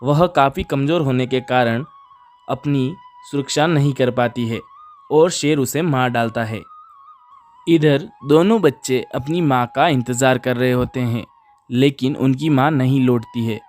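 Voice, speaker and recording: A man's voice: mid-range voice, a little slow, a little mellow. A man narrating a scripted children's moral story. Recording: music under the voice. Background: background music.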